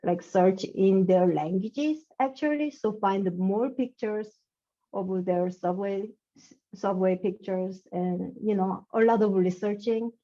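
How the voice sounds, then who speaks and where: A young woman from South Korea with a Korean accent, speaking English haltingly as a guest author in a casual interview. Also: medium pitch, warm tone. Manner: halting, searching for words, laughs often. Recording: dull lo-fi sound.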